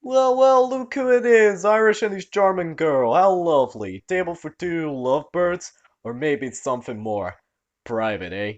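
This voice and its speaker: A man's voice: mid-range voice, very animated, normal speed, muffled recording, close to an everyday voice. A man voicing a crude wannabe gangster in his thirties with a put-on old-time mobster accent. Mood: smarmy.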